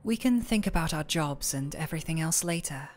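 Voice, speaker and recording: A young woman playing a shy, smitten former coworker in an intimate romantic audio roleplay, speaking softly and haltingly. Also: deep voice, expressive, soft background music. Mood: tender, content. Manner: shy, halting, soft-spoken.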